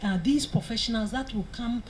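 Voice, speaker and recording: A woman's voice: a little high, normal speed, crowd noise. A woman at a conference making an emphatic, persuasive point. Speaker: conference speaker.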